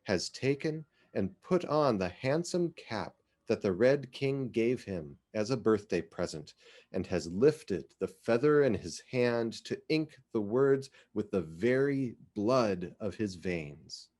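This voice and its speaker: A man giving a dramatic literary reading of poetry, with measured, deliberate, theatrical phrasing. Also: fairly low pitch, lively intonation, darkish tone. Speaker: literary reader.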